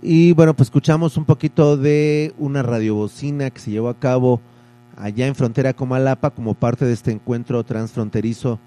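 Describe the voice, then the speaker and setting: A man hosting a community radio newscast, reading a statement and presenting in a relaxed, informal way. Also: mid-range voice, normal speed. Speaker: community radio news host.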